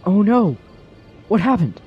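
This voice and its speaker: A man's voice: high-pitched, very animated, measured pace, breathy edge, highs cut off, soft background music, exaggerated voice. A man playing a troubled, doubting character in a fantasy radio drama, brooding and hesitant in his speech. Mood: alarmed.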